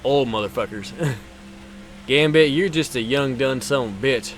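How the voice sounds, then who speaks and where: A man's voice: medium pitch, a little slow, a little hiss or hum. A man in his twenties doing casual, foul-mouthed live commentary while streaming a racing game, bantering jokily with viewers.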